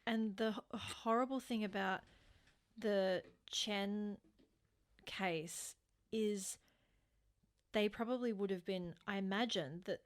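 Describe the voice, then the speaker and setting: Woman chatting as true crime co-host, medium pitch. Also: takes it slowly, long pauses. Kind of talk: casual conversational reaction and commentary.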